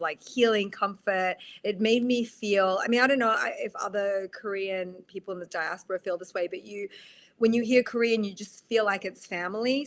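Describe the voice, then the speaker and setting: An Australian woman from Australia, a guest on a podcast interview, speaking reflectively with many halting restarts. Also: mid-range voice, a bit bright. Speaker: guest, actress.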